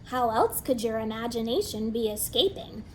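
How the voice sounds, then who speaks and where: A woman voicing an eager, imaginative young girl in a storybook, with bright, excited delivery. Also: on the high side, moderate pace, a little hiss or hum, lightly acted, rough recording. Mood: earnest.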